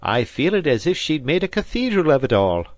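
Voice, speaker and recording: A jolly, hearty deckhand, voiced by a man, his pitch raised above the narrator's usual. The voice comes across dry and close. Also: sing-song, brisk, no echo.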